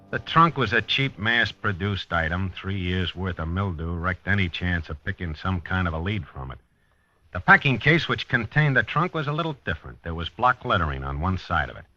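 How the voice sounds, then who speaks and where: A man's voice: on the low side, pushed and bright, dry recording, exaggerated voice. An actor playing a dogged American police detective, narrating his case in a terse, wry, hard-boiled 1950s radio style. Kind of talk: 1950s radio drama acting, noir narration. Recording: dull vintage 1950s radio recording.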